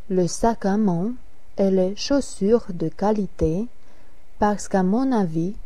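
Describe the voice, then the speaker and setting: A woman's voice: medium pitch, mellow, dry recording, natural voice. A woman reading the part of Marie, an adult woman chatting about clothes and taste, in a careful, scripted lesson dialogue. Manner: careful, evenly paced reading.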